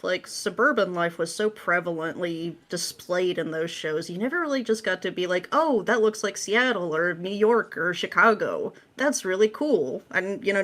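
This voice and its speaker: Chatty woman with a slightly low voice. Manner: informal, candid, chatty. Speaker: podcast guest, script writer. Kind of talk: casual interview chat.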